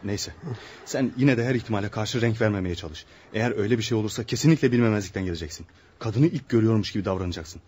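A man's voice: on the low side, fast talker, a little mellow, husky. An actor playing a brusque, cynical male lawyer in a radio play, scolding and insistent with his friend. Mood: cautioning. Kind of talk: radio theatre acting.

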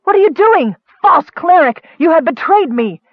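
Guy doing a desperate fierce young woman, really high and loud, urgent, dry audiobook sound. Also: expressive, moderate pace, dry recording, dull audio. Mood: outraged accusation. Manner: shrill, urgent, accusing.

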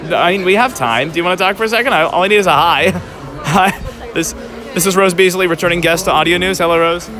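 Quick, higher-voiced man interviewing over music. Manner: chatty, informal, affirming. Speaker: podcast interviewer. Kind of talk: casual convention interview. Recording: convention recording with loud background music.

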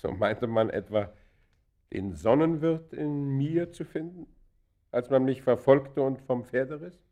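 An actor playing a proud, defiant traveller under arrest in a German radio play, answering in a terse, haughty, guarded and formal manner. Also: male voice, medium pitch, a little slow, dark tone, natural voice. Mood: indignant, challenging.

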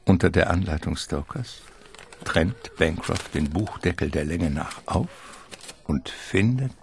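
An actor playing a solemn, ominous narrator of a gothic radio drama, measured and literary in delivery. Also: male voice, low voice, expressive, vocal fry, a little hiss or hum, heavily acted. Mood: suspenseful.

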